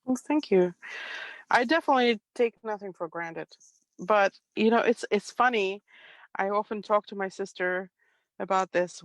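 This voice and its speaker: A middle-aged woman from Ukraine with a Russian accent, a Russian native speaker telling her story calmly as an interview guest. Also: mid-range voice, dry recording, rough recording. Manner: calm, reflective, soft laughs.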